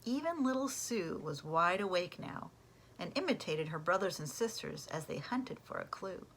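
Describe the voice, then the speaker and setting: A woman reading a children's storybook aloud like a teacher, in an expressive way that acts out the characters. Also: on the low side, normal speed, a little hiss or hum. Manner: animated, acts out character voices.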